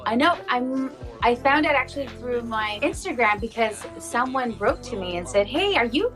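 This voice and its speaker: A woman's voice: on the high side, normal speed, music in the background. A woman voice actor telling anecdotes casually in an interview, animatedly acting out the dialogue she quotes.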